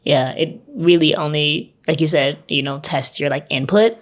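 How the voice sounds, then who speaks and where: Animated young woman. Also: low voice, moderate pace. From Atlanta, USA. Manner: animated, laughs often, many "like" fillers. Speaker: podcast guest. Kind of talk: casual podcast chat. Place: Japan.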